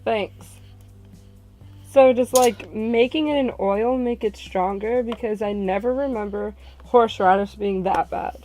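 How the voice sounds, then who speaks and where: Highish-voiced woman over faint music. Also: unhurried, dark tone. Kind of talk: casual game read-along with friends.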